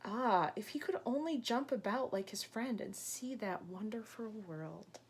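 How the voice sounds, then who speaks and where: Slow, expressive woman teacher reading aloud. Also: medium pitch, slight background noise. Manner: explains words and prompts young listeners with questions. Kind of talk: expressive picture-book read-aloud with asides and questions.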